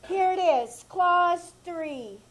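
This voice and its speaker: A woman playing a stubborn, sassy housemaid in a live reenactment of an old-time radio comedy, with a deadpan delivery. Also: very high-pitched, drawn-out words, full character voice. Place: small theater stage.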